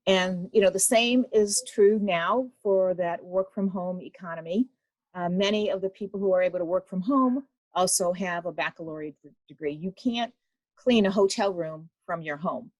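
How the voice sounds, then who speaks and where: A woman's voice: on the low side, moderate pace, darkish tone, no echo, low-quality recording. A woman, an association president, interviewing a guest in an attentive, affirming way. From United States. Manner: attentive, affirming, frequent "mm-hmm". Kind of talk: interview conversation.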